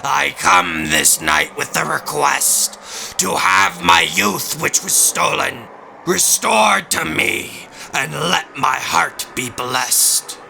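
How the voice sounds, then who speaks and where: A man playing a commanding demon in an audio drama, solemn and imperious, with a gravelly voice. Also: on the high side, slow pace, sharp tone, slight background noise, exaggerated voice. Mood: incantatory. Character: commanding male demon granting youth at a price. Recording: voice under prominent background music. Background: music.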